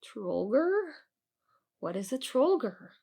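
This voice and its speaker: Storybook goblin, played by a woman: small angry male, eerie, high and animated. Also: takes it slowly, vocal fry, slightly rough, full character voice. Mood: eerie, doubtful. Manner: eerie, challenging.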